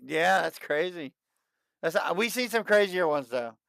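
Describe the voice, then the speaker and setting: A man in his late thirties hosting a livestream, chatting casually and excitedly, and often repeating himself. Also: sits high, moderate pace, creaky, no echo. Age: 30s. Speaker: livestream host. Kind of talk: casual chat.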